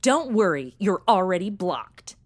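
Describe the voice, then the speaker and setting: A woman's voice: medium pitch, sing-song, a little slow, loud music behind the voice. A woman playing a sassy modern young woman in an audio comedy, sarcastic, blunt and exasperated. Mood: sarcastic, jealous. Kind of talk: comedy audio drama acting.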